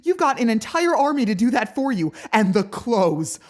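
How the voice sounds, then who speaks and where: A woman's voice: a little high, sing-song, moderate pace, voice pushed up. A woman voicing a hot-tempered young woman fighter in a fantasy audiobook, panicked and furious, with a shaky voice that cracks. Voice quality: shaky, cracking when upset. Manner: frantic, dramatic outbursts. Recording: background music behind the voice.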